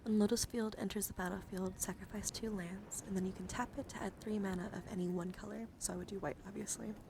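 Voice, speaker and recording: A soft-spoken woman livestreaming in a gentle, soothing ASMR style, often slipping into whispers as she chats casually, close to the microphone. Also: mid-range voice, fairly even intonation, fast, vocal fry, background noise. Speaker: livestream host showing trading cards.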